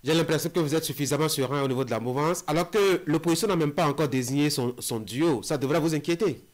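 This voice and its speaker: A man with a West African French accent puts political questions as an interviewer. His voice is fairly high for a man. He feels his way through each question in hesitant steps. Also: fast talker.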